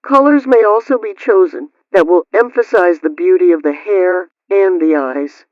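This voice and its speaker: A woman's voice: on the low side, normal speed, background noise. A woman playing a prim 1920s housekeeping host on the radio, reading scripted advice in a formal, instructive way through an old radio filter. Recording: dull, lo-fi old-radio sound.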